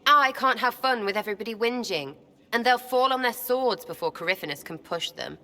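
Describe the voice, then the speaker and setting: A woman's voice: on the high side, animated, fairly quick, bright, forceful tone, in a large hall. An actress with an English accent voicing a cheeky, irreverent young woman prankster in a video game, brash and slangy. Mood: restless, scheming. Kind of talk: video game character acting.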